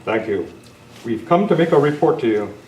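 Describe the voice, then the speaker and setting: Guy doing an earnest heroic cowboy lawman, radio western style, medium pitch, roomy distant sound. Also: expressive, moderate pace, close to an everyday voice. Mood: formal. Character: Lone Ranger, upright, earnest masked western lawman. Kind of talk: old-time radio western script reading. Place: club meeting room, live presentation. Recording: echoey, noisy room, distant mic.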